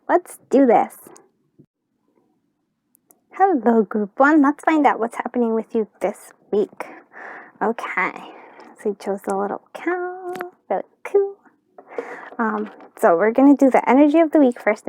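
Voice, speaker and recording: A woman giving a casual tarot card reading, talking softly and chattily with many fillers and restarts. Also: a little high, no echo, low-quality recording. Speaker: tarot reader.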